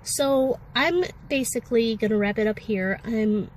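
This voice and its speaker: A woman's voice: fairly high pitch, unhurried, slight background noise, low-quality recording. Congested woman vlogging candidly. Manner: candid, rambling, many fillers. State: congested with a sore throat. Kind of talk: casual personal vlog talk.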